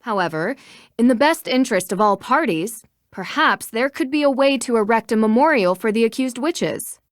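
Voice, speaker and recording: A woman playing a poised young female amateur detective in a video game, speaking with an American accent in a measured, articulate, matter-of-fact way. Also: a little high, expressive, no echo, studio quality, lightly acted. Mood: earnest, explaining. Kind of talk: scripted game dialogue.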